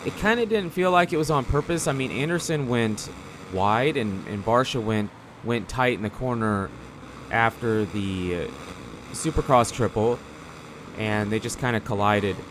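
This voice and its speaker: A man's voice: fairly low pitch, expressive, a little slow, background noise. A man giving casual gameplay commentary in a rambling, conversational, slangy way. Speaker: gaming video commentator.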